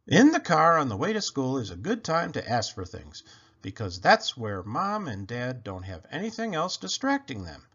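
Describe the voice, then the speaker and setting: A man reading a children's picture book aloud in an expressive, playful way with animated emphasis. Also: medium pitch, moderate pace, breathy edge. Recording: dull, lo-fi sound.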